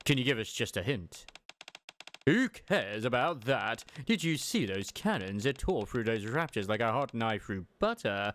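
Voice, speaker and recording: A man voicing awestruck, excitable party guests who gush and exclaim in a game fandub. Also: mid-range voice, unhurried, slight background noise. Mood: thrilled. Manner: gushing, exclaiming.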